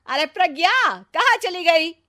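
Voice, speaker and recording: A woman voicing a boastful, mocking elder sister-in-law in an animated story. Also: extremely high pitch, a little slow, no echo, clean studio sound, pitched above the performer's own voice, full character voice. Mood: uneasy. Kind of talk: animated moral story character acting.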